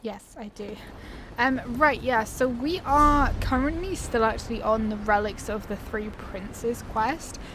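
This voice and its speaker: A woman's voice: on the high side, a little slow, background noise. A woman giving casual, playful let's play commentary as the host of a gaming video, in a chatty, informal way. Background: video game ambience.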